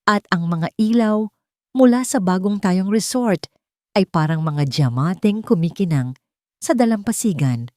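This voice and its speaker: A Filipino woman narrates a dramatic romantic story. Her voice sits low for a woman. The sound is dry, with little room around it, and the delivery is that of a scripted storyteller. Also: a little mellow, no room sound.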